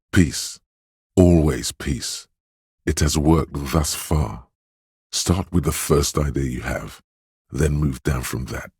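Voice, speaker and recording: A man voicing a grave, compassionate inner voice of empathy, narrating a game in a measured, intimate, solemn way. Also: darkish tone, dry recording, studio quality, full character voice. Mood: calm, pleading. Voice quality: very deep, velvety, husky. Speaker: game voice actor. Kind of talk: scripted second-person game narration.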